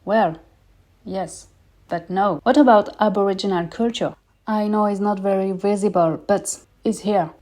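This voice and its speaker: A woman's voice: medium pitch, steady tone, measured pace, mellow. A French woman in her thirties hosting a personal podcast, speaking candid, earnest English as a second language with small grammar slips. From France. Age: 30s. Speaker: podcast host.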